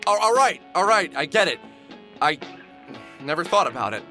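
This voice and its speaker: A man's voice: fairly high pitch, big pitch swings, moderate pace, sharp tone, soft background music, low-quality recording. An actor playing a weary, wry middle-aged man in a video game, talking in a dry, grumbling way. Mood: flustered. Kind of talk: video game voice acting, muttered inner monologue and dialogue.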